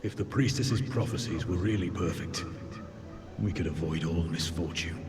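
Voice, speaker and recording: A man's voice: deep voice, normal speed, natural voice. A man playing a grave, skeptical character in a video game, his voice in heavy reverb over music. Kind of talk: scripted video game story acting. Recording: echoing hall reverb, prominent music.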